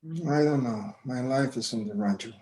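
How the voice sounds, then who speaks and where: A man's voice: medium pitch, normal speed, warm tone, natural voice, rough recording. An actor playing a proud, stubborn elderly rancher father, philosophizing in terse phrases at a screenplay table read. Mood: sad, resistant.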